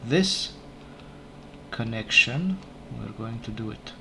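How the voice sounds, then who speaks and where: A man whose first language is not English, casually commenting on his game as he plays, unhurried and thinking aloud, often correcting himself. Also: medium pitch, lively intonation, creaky, background noise. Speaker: let's play gamer. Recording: game music playing behind. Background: game music.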